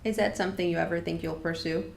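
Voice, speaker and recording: A woman's voice: lowish voice, steady tone, fast talker, creaky. A woman podcast host asking friendly, affirming interview questions. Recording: echoey room with faint background noise.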